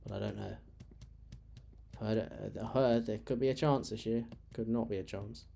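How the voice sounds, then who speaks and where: A man's voice: lowish voice, steady tone, moderate pace, vocal fry, warm tone. Rambling man, English accent with Irish touches. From England. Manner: rambling, many fillers and restarts. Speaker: streamer. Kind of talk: casual chat while gaming.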